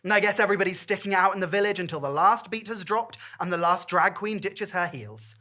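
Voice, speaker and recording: A woman's voice: mid-range voice, brisk, sharp tone. A woman performing a comic storytelling monologue in a wry, campy, theatrical manner.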